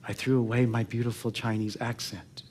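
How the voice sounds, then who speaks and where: A man's voice: mid-range voice, level tone, a little hiss or hum. Reflective older American man telling stories on stage. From Oakland, California, United States. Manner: reflective, earnest, with pauses and soft phrase endings. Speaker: public speaker. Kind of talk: personal storytelling talk on stage. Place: theatre stage before a seated audience.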